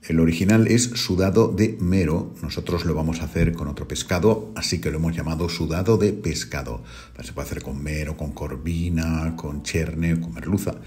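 A middle-aged man, hosting a home cooking video and calmly talking viewers through each step. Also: a bass voice, normal speed. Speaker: cooking channel host. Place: home kitchen.

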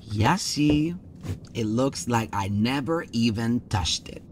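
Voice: medium pitch, lively intonation, takes it slowly, a little hiss or hum. A performer playing a friendly, modest young man who mixes Spanish into English in an audio drama. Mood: helpful.

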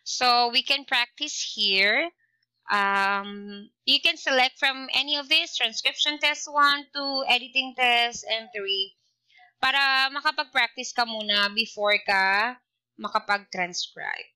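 A Filipino woman in her thirties talks casually with lots of fillers. Her voice is very bright and a bit high. She records at home on a laptop with a headset. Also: unhurried, clear, resonant tone, poor audio. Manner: chatty, with frequent fillers. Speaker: vlogger. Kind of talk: casual tutorial with Taglish code-switching. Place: small indoor room.

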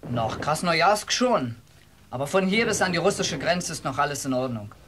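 An actor playing a fussy, unruffled telegraph clerk in a German radio play, officious and matter-of-fact. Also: male voice, a little high, animated, moderate pace, fairly bright tone, breathy edge.

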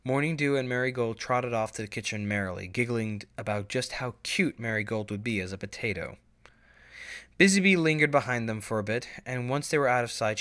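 A man's voice: on the low side, fairly bright tone. A man reading scripted story narration as the narrator.

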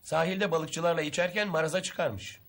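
An actor playing a patient, fatherly police commissioner in a TV drama, familiar and gently admonishing. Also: fairly high pitch, steady tone, normal speed. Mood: apologetic. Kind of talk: Turkish TV drama acting.

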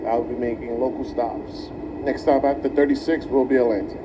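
A man's voice: medium pitch, steady tone, brisk. A man working as a train conductor, making live service announcements in a formal, instructive way. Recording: distant muffled train PA, loud noise. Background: subway car noise.

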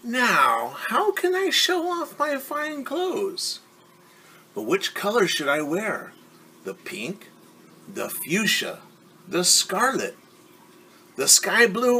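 A man voicing a vain, indecisive young girl in a storybook, with drawn-out dithering. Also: high voice, vocal fry, bright, forceful tone, slightly rough, pitched above the performer's own voice, exaggerated voice.

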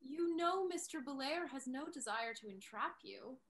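A young woman playing a pointed, teasing confidante, a lively gentlewoman in a period stage comedy. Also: high voice, normal speed. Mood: teasing, advising. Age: young adult. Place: indoors, on a video call.

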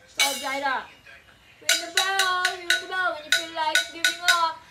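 A woman's voice: extremely high pitch, steady tone, moderate pace, loud music behind the voice. A young woman ranting to her phone camera in a small bedroom, profane and confrontational, moving around as she talks. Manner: profane, confrontational, restless, moves around while talking. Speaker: vlogger. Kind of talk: angry profane rant to camera. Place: small cluttered bedroom, indoors. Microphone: phone camera, often far away. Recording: distant phone audio with clear background noise.

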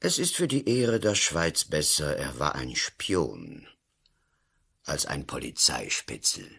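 An actor playing a pompous, cynical colonel and politician who lectures emphatically in a radio play. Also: male voice, a bass voice, big pitch swings, takes it slowly, vocal fry, sharp tone, breathy edge, full character voice. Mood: insistent, stern. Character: blustering, cynical male colonel and lawyer-politician. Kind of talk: German-language radio play acting.

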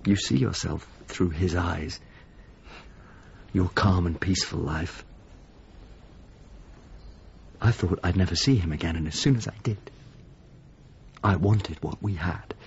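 An actor playing a melancholy, haunted painter in a radio drama, speaking in a reflective, halting, confessional way. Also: male voice, sits low, vocal fry, dull audio, a little hiss or hum. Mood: wistful, troubled. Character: Mario, melancholy haunted painter, a grown man. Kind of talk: naturalistic radio drama acting and monologue.

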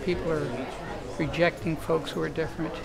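Older gravelly man, sincere. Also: fairly high pitch, level tone, fairly quick, heavy background noise. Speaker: audience member. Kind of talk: casual interview. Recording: loud crowd chatter behind.

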